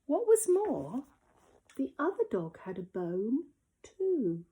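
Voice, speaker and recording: Slow, expressive woman reading a story. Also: sits high, breathy edge. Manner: expressive storytelling, dropping to whispers for suspense. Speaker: primary school teacher. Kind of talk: reading a children's story aloud. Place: at home.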